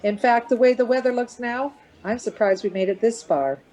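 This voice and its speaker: A woman's voice: a little high, moderate pace, a little mellow, natural voice, rough recording. A woman playing a weary, anxious but reasonable middle-aged mother in conversation in a radio play. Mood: anxious, patient.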